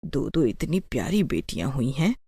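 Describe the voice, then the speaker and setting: A woman's voice: very deep voice, very animated, moderate pace, dark tone, slightly rough, no echo, voice pushed down, heavily acted. A woman voicing a firm, scolding older village mother in a moral story. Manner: chiding, firm. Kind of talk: dramatised Hindi moral story acting.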